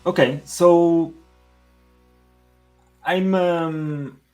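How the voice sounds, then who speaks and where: A man from Portugal with a Portuguese accent, giving conversational interview answers as a podcast guest, halting and repeating words as he goes. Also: a little high, steady tone, darkish tone, faint music behind. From Portugal, Lisbon area. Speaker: podcast guest, engineering manager.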